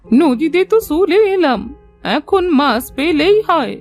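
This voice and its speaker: A woman's voice: sits high, lively intonation, slow, a little mellow, dry recording. A woman voicing a blunt old fishing grandmother in a cartoon fairy tale. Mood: grumbling. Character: old fishing grandmother, blunt but honest to her word. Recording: music under the voice.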